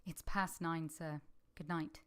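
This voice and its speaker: A woman playing a quiet, plain-spoken young governess in a vintage-style radio drama, earnest and measured, both in dialogue and first-person narration. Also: deep voice, fairly even intonation, clean tone. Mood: reserved, polite.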